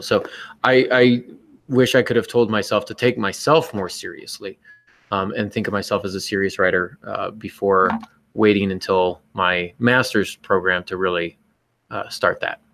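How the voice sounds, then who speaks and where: A man's voice: on the low side, fairly even intonation, normal speed, fairly bright tone. A man co-hosting a podcast, talking reflectively in an earnest, emphatic, self-critical way. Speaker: podcast co-host and writer.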